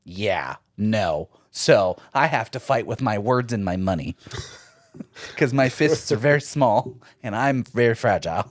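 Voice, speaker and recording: A man with a Midwestern American accent, co-hosting a podcast with profane, jokey, animated banter. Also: lowish voice, a little slow, dry recording. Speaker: podcast co-host. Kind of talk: casual banter. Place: podcast studio.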